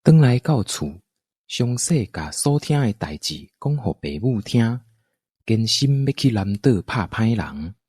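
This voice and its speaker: A man's voice: medium pitch, very animated, measured pace, darkish tone, husky, no room sound. A man with a Taiwanese accent narrating a scripted folktale for an audio drama.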